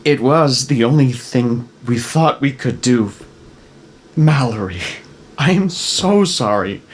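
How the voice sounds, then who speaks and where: Man acting a halting, slow-spoken guilty father. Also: mid-range voice, lively intonation, slight background noise. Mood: anguished, pleading. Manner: halting, pleading, remorseful. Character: guilt-ridden, evasive father hiding a terrible secret. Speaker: actor in a screenplay table read. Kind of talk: dramatic script reading.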